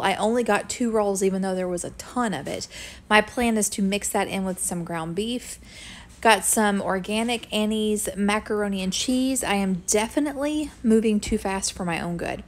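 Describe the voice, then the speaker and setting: A woman, casually chatting through her grocery haul and weekly meal plan at home. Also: mid-range voice. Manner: chatty, friendly, quick asides. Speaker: homestead vlogger. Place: home kitchen. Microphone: camera a few feet away.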